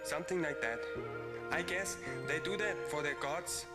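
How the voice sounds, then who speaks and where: Guy doing mystified himalayan villager narrating, kinda high voice, shrugs it all off, loud music. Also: normal speed, close to an everyday voice. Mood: bemused. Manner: mystified, storytelling, dismissive. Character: bemused Himalayan local puzzled by crazy foreigners. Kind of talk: character narration.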